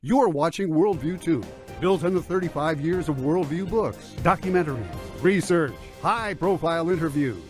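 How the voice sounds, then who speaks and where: A man reading a scripted channel promo as an announcer, in a smooth, measured delivery. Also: fairly high pitch, faint music behind.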